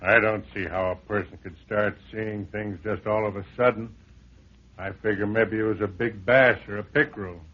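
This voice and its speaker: A man's voice: lowish voice, fairly even intonation, normal speed, close to an everyday voice. An actor playing a folksy, plain-spoken handyman in a vintage radio drama, deferential, wary and skeptical. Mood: matter-of-fact, skeptical. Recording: dull old radio recording.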